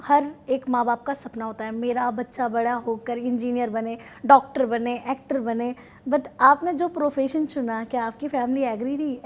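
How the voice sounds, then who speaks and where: Very high-pitched woman interviewer. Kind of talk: conversational interview questioning.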